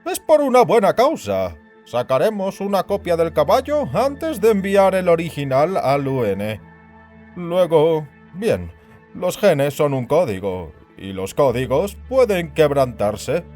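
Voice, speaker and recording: Male narrator as burly disapproving director, high-pitched, very animated delivery, condescending, emphatic, audio story. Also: measured pace, soft background music. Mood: scornful, explaining. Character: overbearing big burly male institute director, perpetually disapproving.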